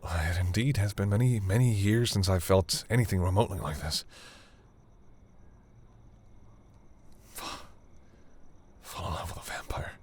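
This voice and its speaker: A man playing a tender, bashful centuries-old vampire in an intimate audio roleplay, speaking formally and hesitantly in a deep, husky voice. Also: a little mellow. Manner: hesitant, halting, earnest, formal wording.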